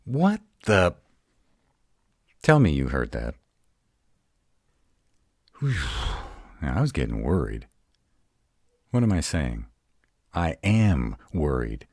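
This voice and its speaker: A very deep male were-dragon, acted by a man, gruff, grumpy and protective. He speaks slowly and haltingly in a roleplay audio, exasperated and defiant, with lively swings in pitch. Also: no room sound, studio quality, full character voice. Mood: rattled, uneasy. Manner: gruff, exasperated, halting one-sided dialogue.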